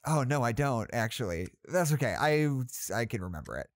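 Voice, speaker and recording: A man playing an anxious, well-meaning head of psychology in an audio drama, halting and correcting himself as he tries to stay upbeat. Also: mid-range voice, animated, no echo, clean studio sound, natural voice. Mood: nervous optimism. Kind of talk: naturalistic audio drama monologue, spoken log.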